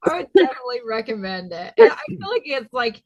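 A woman's voice: on the high side, sing-song, fast, darkish tone, muffled recording. A woman guest on a podcast, chatting about a movie in an easygoing, giggly way. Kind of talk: casual movie-review chat.

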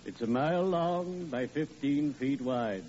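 A man's voice: a little high, lively intonation, a little slow. An actor playing a cheerful, morbid male undertaker with sweet, suave, drawling delivery in 1940s radio acting, on an old lo-fi recording with hiss. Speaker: undertaker character in a radio comedy.

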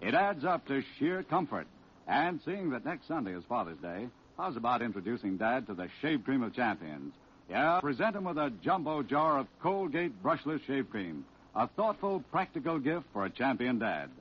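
A man's voice: medium pitch, big pitch swings, normal speed, slight background noise. A man announcing a 1940s radio commercial with an enthusiastic salesman's delivery. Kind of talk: 1940s radio advertising pitch. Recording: dull vintage 1940s radio recording.

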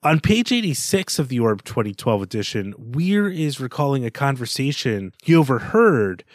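A man's voice: medium pitch, sing-song, normal speed, no room sound, studio quality. A man co-hosting a literary podcast, talking conversationally and discursively with wry asides. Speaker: podcast co-host.

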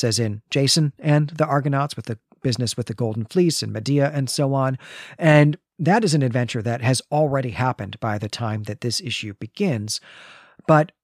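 A man's voice: mid-range voice, a little mellow, no echo, clean studio sound. A man hosting a literary discussion, explaining and recapping in an articulate way, often checking his points with a tag question. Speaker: podcast host.

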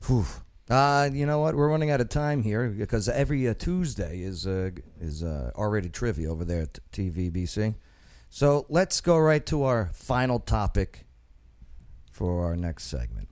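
A man's voice: lowish voice, lively intonation, normal speed, a little mellow, no echo. A man hosting a local radio talk show, brash and teasing, reading his own ads with a salesy push. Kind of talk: casual radio talk and host-read ad.

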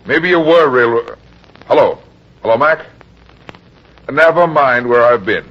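Dull old radio recording, shrewd heavyset private eye, American, hard-boiled, husky, animated pitch. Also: male voice, unhurried. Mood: commanding. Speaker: actor playing detective and narrator. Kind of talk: old-time radio detective drama acting.

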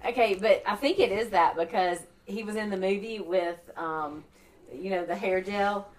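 A woman's voice: mid-range voice, moderate pace. A woman in casual group banter, hesitant and questioning.

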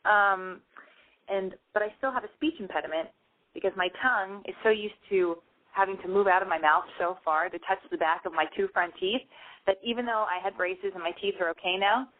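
A woman's voice: medium pitch, fairly quick. Woman with a lisp, tinny phone sound. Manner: rambling, self-deprecating, excitable. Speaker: radio show host. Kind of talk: casual call-in show monologue.

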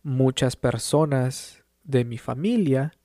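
A man from Peru, a language teacher, speaking calmly and encouragingly in a clear teaching monologue and adding short glosses in English. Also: medium pitch, takes it slowly, no room sound, studio quality.

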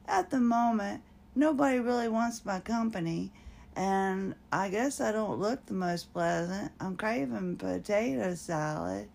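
A woman speaks in an unhurried, candid podcast monologue. Her voice carries a gravelly creak, and she rambles and pokes fun at herself, often dissolving into laughter as she talks. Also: medium pitch, a little mellow. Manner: rambling and self-mocking, often breaking into laughter. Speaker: podcast host.

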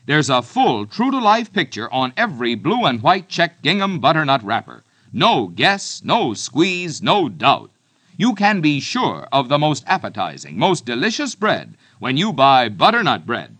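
A man's voice: on the high side, wildly swooping pitch, measured pace, fairly bright tone, husky, dry recording. A man announcer reading a radio bread commercial in an earnest, emphatic sales voice. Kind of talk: scripted radio ad read.

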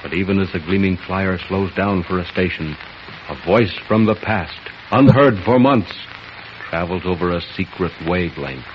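An actor plays a grand male announcer of a 1940s radio serial. His low voice declaims in a heroic, dramatic manner. The old recording is dull, with a faint hiss behind him. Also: moderate pace, close to an everyday voice. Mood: suspenseful.

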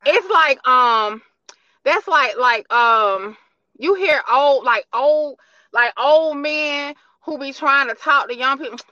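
Woman podcast host, high bright voice, slangy and jokey, kinda dull dry recording. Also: slow, no room sound. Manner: informal, slangy, joking. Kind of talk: casual interview chat.

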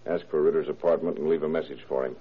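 An actor playing a gruff, probing police detective with clipped, dryly sarcastic delivery in a 1940s radio crime drama. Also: male voice, rumbling low, steady tone, quick pace. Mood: dry, commanding. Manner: clipped, commanding, questioning. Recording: muffled, band-limited old radio recording.

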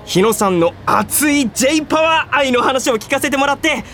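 A woman's voice: sits high, expressive, moderate pace, fairly bright tone, a little hiss or hum. A woman playing a young male junior office worker, polite, earnest and oblivious, in a radio drama. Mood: delighted, eager. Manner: polite casual junior speech, eager.

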